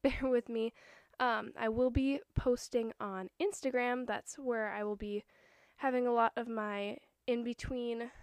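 A young woman with a slightly high voice and a hoarse throat from a cold hosts her own podcast. She talks in an earnest, chatty way and sounds a little nervous. The sound is dry and close, with no room echo. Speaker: podcast host. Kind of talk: casual solo podcast talk.

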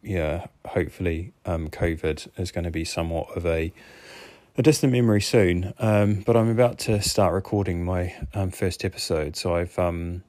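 A man's voice: sits low, fairly even intonation, normal speed, darkish tone, no echo. A man with a New Zealand accent hosting his own podcast, musing earnestly and unscripted, thinking aloud with hesitations. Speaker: podcast host, fitness coach.

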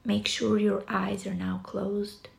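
A woman leads a guided meditation very slowly, leaving long pauses between phrases. Her voice is soft and breathy, with heavy vocal fry running through it. The pitch sits in a middle range for a woman, and the delivery is soothing. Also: flat delivery. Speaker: yoga nidra guide.

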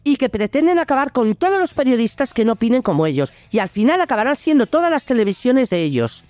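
A woman's voice: a little high, sing-song, fast talker, darkish tone, no room sound, soft background music. A woman with a Spanish accent from Spain delivers an opinionated political monologue in a blunt, indignant, colloquial way. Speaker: host of a political opinion video show.

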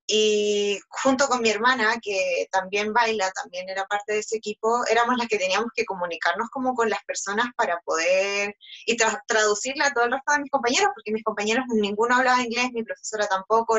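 A young woman with a Chilean accent, a pageant contestant telling her story in a lively, chatty way full of fillers. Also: fairly high pitch, brisk, muffled recording. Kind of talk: interview storytelling.

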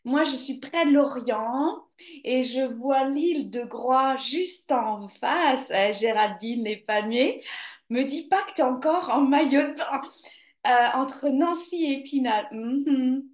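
A woman's voice: high-pitched, slow pace, echoey room. A woman yoga teacher hosting a casual live stream, chatting spontaneously with viewers and hesitating often. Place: home near the coast.

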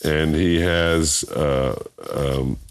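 A man's voice: fairly even intonation, drawn-out words, clear, resonant tone. An older man, a bandleader and voiceover artist, chatting in an interview as a rambling storyteller who chuckles often. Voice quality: very deep voice. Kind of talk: casual interview chat.

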